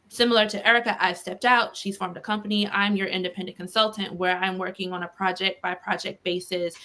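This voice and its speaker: A woman consultant introduces herself on a video panel with a bright, sharp tone. She keeps it brisk and friendly, with a light touch of humor. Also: medium pitch. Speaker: independent DEI consultant.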